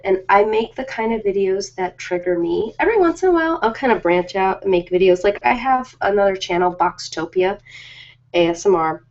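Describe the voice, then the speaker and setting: A woman's voice: lowish voice, gravelly, darkish tone. Chatty woman in dull lo-fi audio. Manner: chatty, informal, rambling. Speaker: ASMR creator hosting a live stream. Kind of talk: casual ASMR live-stream chat. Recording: dull lo-fi live-stream audio.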